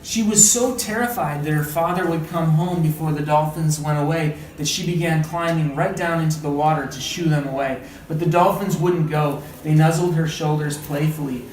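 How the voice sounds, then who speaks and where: A man reading a story aloud as a teacher, with dramatic, deliberate phrasing and questioning asides. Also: on the high side, darkish tone. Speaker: read-aloud teacher and trainer. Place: indoor room, training session. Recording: echoey room, distant mic, background noise.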